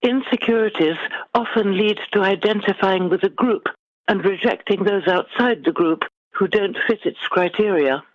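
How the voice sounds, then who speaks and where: A middle-aged woman guest author speaking in measured, formal, articulate phrases in a literary interview. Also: medium pitch, no room sound. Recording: dull, lo-fi band-limited sound.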